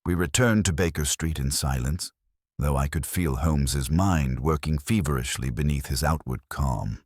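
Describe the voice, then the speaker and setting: A man's voice: a bass voice, normal speed, darkish tone, dry recording, clean studio sound. A man reading a detective story as scripted narration. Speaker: audiobook narrator.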